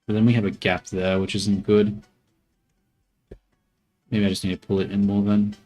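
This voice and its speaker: A man's voice: fairly low pitch, flat delivery, normal speed, a little mellow, soft background music. A man streaming as he models a 3D character, talking to himself and his chat in a joking, muttering way. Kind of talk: casual live commentary while working.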